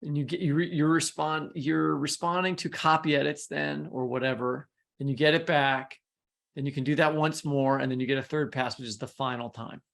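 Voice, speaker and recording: A man with heavy vocal fry and a slightly raised pitch hosts a casual podcast. He talks in a loose, rambling way, often correcting himself, and likes to tease. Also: steady tone, fairly quick, a bit bright. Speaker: podcast co-host and interviewer. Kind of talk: casual podcast conversation between colleagues.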